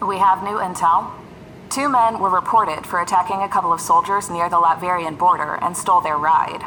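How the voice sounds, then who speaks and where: An actress playing a brisk, businesslike female military commander. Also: on the low side, pushed and bright, slight background noise, rough recording. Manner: businesslike briefing.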